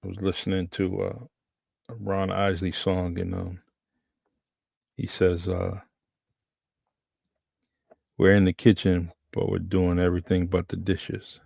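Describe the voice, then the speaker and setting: A man hosting a podcast, talking in a relaxed way with many pauses and fillers. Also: deep voice, vocal fry, husky, dry recording. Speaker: podcast host. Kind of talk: casual conversation.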